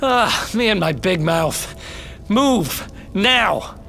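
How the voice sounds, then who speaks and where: A man's voice: sits high, lively intonation, very slow, creaky, pushed and bright, heavily acted. A young man acting a wry, plucky, roguish fugitive in a video game cutscene. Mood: alarmed.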